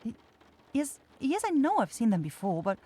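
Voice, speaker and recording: A woman's voice: fairly high pitch, sing-song, moderate pace, dark tone, husky. A woman voicing a caring young forest witch in a fantasy ASMR roleplay, nervous, rambling and apologetic. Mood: shy, flustered.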